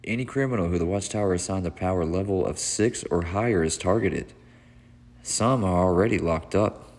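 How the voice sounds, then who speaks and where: A man narrating a comic book read-along in a dramatic, solemn, theatrical way with deliberate pauses. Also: deep voice, darkish tone, slight background noise. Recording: long hall reverb.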